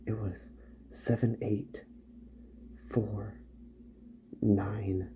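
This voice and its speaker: A man playing a calm, attentive robot repair doctor in a soft-spoken ASMR roleplay, hushed and unhurried, often slipping into a whisper. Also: fairly low pitch. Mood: musing, pondering. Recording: dull sound, loud background noise.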